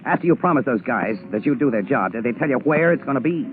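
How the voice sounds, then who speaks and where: An actor playing a brisk, tough, wisecracking American photographer with slangy, clipped 1940s radio delivery. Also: male voice, mid-range voice, animated, natural voice. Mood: urgent, reassuring. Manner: slangy, clipped, self-assured. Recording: muffled 1940s radio broadcast, music behind.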